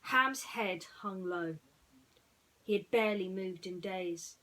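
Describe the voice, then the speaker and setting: Female bedtime storyteller, middle register, reflective and unhurried delivery with pauses. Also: mid-range voice, pushed and bright, rough recording. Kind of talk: personal chat and bedtime story reading.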